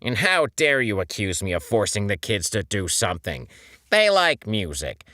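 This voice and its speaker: A man's voice: medium pitch, sing-song, a little slow, bright, forceful tone, clear, resonant tone, dry recording, studio quality, voice pushed up. A man voicing an irritable, exasperated adult male squid who scolds with indignation in a storybook reading.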